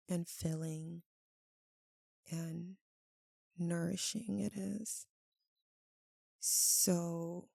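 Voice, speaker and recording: Lovesick vampire woman, voiced by an actress: anguished ASMR roleplay, halting and soft, mellow tone, very slow delivery. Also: lowish voice, creaky, no room sound, clean studio sound, full character voice. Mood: grave, hushed. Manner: halting, hushed, emotional delivery with long pauses. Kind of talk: ASMR roleplay, intimate dramatic monologue.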